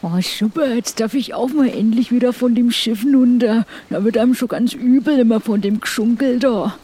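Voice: medium pitch, normal speed, mellow, breathy edge, close to an everyday voice. A performer voicing a cheeky, charming pirate in improvised tabletop roleplay, chatty and joking. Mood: chatty, playful. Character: charming joking male pirate, hungry and grumbling good-naturedly.

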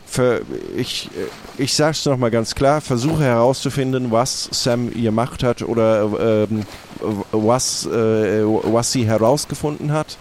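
A man playing a flustered, formal stand-in pirate captain in an improvised roleplay, speaking haltingly and wordily. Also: medium pitch, steady tone, a little mellow, slight background noise, lightly acted. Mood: flustered, instructing. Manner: halting, hesitant, wordy.

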